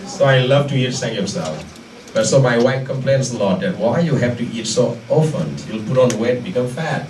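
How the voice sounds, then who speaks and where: A man with an Indian accent and Manipuri as his first language gives an informal talk, haltingly searching for words and repeating phrases. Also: medium pitch, lively intonation. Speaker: guest lecturer. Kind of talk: informal public talk with audience questions. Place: indoor lecture room. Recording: echoey room, distant mic, faint noise.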